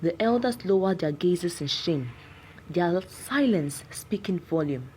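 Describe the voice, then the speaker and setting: A woman narrating a scripted folktale in a solemn, measured, dramatic way. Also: on the low side, lively intonation, darkish tone, a little hiss or hum, rough recording. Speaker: storyteller.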